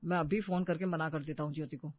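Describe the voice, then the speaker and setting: Woman playing a stern, lower-pitched young husband. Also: fairly even intonation, fast talker, no echo. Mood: stern, objecting. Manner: stern, objecting. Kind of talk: Hindi audio story acting. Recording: slightly dull, dry studio recording.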